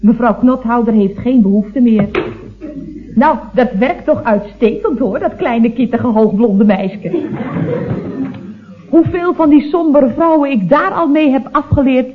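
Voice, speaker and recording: An actress playing a chatty, sly office telephonist with a gossipy, mock-innocent manner in a radio comedy. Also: female voice, on the high side, measured pace, background noise, lightly acted. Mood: smug. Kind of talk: 1970s radio comedy acting. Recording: muffled, phone-like old radio recording.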